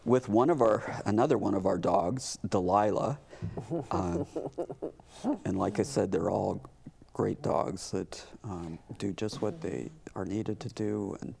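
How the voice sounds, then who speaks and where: An American man talking casually as an interview guest about community work, pausing often as he explains things. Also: on the low side, vocal fry, a little mellow, slight background noise. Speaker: community theater representative, talk show guest.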